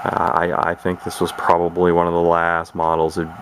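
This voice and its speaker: Male hobbyist demonstrator, very low creaky voice, rambling and hesitant delivery, noisy homemade recording. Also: completely flat delivery, moderate pace. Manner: rambling, hesitant, repeats words. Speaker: radio collector presenting his collection. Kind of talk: casual product demonstration. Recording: noisy amateur camera recording.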